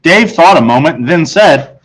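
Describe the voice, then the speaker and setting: A man with a slightly high voice reads a story aloud to children. He narrates like a teacher with a class. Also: animated, moderate pace, poor audio. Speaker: audiobook reader, teacher.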